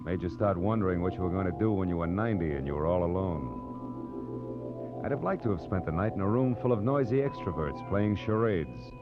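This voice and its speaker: An actor playing a wry, weary hardboiled private detective in a deadpan 1940s radio noir style. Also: male voice, deep voice, a little fast. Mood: brooding, wry. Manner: deadpan, world-weary, sardonic. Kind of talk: 1940s radio noir acting and first-person narration. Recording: dull old radio recording with loud music.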